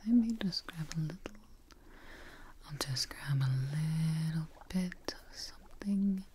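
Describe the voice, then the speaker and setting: A young woman playing a devoted, tired scientist tending her creation in a breathy, gentle whispered ASMR roleplay, close to the mic. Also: deep voice, expressive, noisy background. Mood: puzzled, murmuring. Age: young adult. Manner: slow, breathy, gentle, pausing. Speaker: ASMR performer. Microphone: close ASMR microphone.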